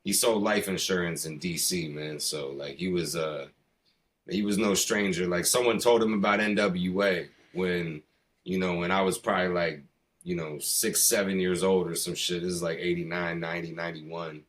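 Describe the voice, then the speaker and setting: Brief: middle-aged American man, hip hop artist, very low voice, slangy and loose interview talk. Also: steady tone, moderate pace, fairly bright tone. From US East Coast. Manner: slangy, rambling, peppered with "you know" and "man". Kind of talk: casual conversation.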